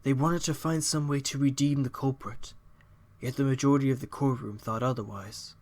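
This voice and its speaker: A man's voice: mid-range voice, steady tone, moderate pace, a little mellow, close to an everyday voice. A man playing a compassionate, reflective hero in an audio drama, speaking earnestly.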